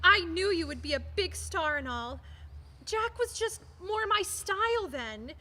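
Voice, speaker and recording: A woman playing an apologetic, halting old flame calling in during a comic radio play. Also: very high-pitched, animated, sharp tone, exaggerated voice. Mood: wistful. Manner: halting, pleading. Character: tearful apologetic old flame phoning in, theatrical. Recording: noisy speakerphone-style line.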